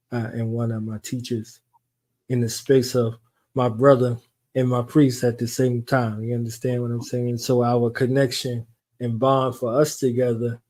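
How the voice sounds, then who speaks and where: A man hosting a radio talk show, introducing his guest in an energetic, repetitive way. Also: medium pitch, rough recording. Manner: hype-style, repeats "you understand what I'm saying". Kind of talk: casual interview introduction.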